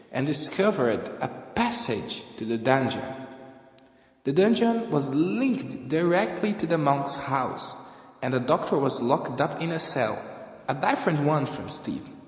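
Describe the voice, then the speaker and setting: A man reading scripted story narration in a halting way, stumbling over some words. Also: medium pitch. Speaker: narrator and reader of a fan audio drama. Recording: echoey room with long reverb.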